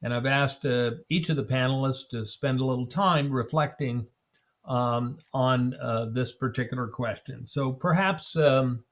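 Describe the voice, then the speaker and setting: A man moderating a panel discussion on a video call, speaking in a measured way with frequent fillers. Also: medium pitch, a bit bright.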